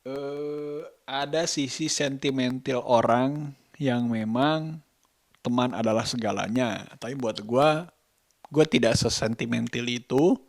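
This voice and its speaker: A man giving his opinions in a casual vlog, speaking deliberately and often searching for words. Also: mid-range voice, no room sound, clean studio sound. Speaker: vlogger, host.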